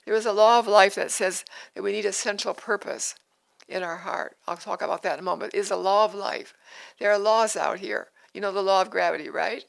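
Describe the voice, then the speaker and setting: Gravelly woman in her eighties. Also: medium pitch, steady tone, brisk, poor audio. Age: 80s. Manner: earnest, repeats phrases, restarts sentences. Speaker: podcast host. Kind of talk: devotional podcast talk.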